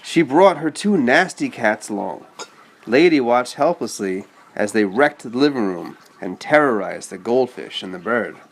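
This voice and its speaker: A man's voice: medium pitch, expressive, unhurried, vocal fry. A man reading a children's story aloud and chatting patiently with a child, explaining as he goes. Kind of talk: read-aloud storytelling with casual asides to a child.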